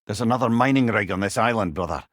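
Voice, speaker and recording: A man's voice: on the low side, fast, no echo, studio quality, lightly acted. A man voicing a wise, witty old Scottish advisor in a video game, with a wry, eloquent and rueful manner. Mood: grave, rueful. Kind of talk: video game character dialogue.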